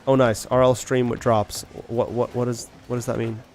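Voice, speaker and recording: A man's voice: mid-range voice, flat delivery, normal speed, a little mellow. A young man chatting casually with viewers on a game stream, rambling and thinking aloud with many restarts and questions. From Ireland. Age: young adult. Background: faint background beats.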